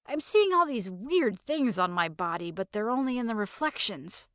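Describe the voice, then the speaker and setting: A nervy young woman acted by a female narrator with a fairly high voice, close and dry. She rambles in slang, wry and incredulous, as a character in an audio drama. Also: animated, normal speed, fairly bright tone, no room sound. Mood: hesitant, uneasy.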